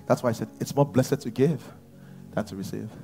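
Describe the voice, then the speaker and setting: A man preaching a sermon to his church, at times rising to shouts. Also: medium pitch, a little slow, faint music behind. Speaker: pastor.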